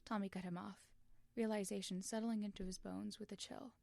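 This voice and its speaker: Woman, story narrator, expressive scripted reading, noticeable vocal fry, dry close recording. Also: medium pitch, brisk, dry recording. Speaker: fan fiction narrator.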